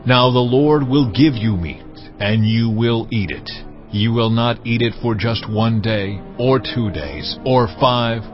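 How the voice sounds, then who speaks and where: An actor playing a stern, majestic God in a dramatized audio Bible, with solemn, commanding declamation. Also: male voice, mid-range voice, slow pace, natural voice. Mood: stern, indignant. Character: God, stern, majestic, authoritative male deity. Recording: dull lo-fi sound under clear music.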